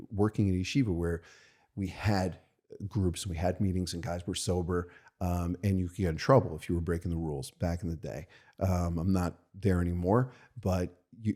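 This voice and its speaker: An American man, a rabbi, telling stories from his own life in a candid, halting way, often checking in with "right?". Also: deep voice, warm tone. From United States. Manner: thoughtful and halting, many restarts and "right?" tags. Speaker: podcast guest, rabbi. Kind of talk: candid conversational storytelling.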